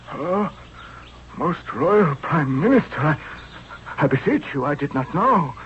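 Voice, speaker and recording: An actor playing a brutish, blustering slave overseer in an old-time radio drama. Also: male voice, on the high side, lively intonation, slow. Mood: frightened, grovelling. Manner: barking, exclamatory. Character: brutish slave overseer, blustering bully who turns grovelling. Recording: muffled, noisy 1970s radio recording.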